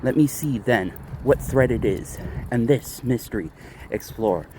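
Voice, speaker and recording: A man, an amateur reader, recites Gothic poetry aloud in measured, dramatic phrases with pauses while out walking. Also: mid-range voice, expressive, darkish tone. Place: outdoors, walking a dog. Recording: outdoor street noise, vehicles, jangling keys.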